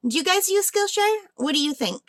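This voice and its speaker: A woman's voice: very high-pitched, animated, a little fast, a bit bright, no echo, clean studio sound. A woman hosting a craft unboxing vlog in a casual, chatty way, thinking aloud, with a slightly tired, under-the-weather voice. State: slightly unwell, voice tiring. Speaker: craft channel host.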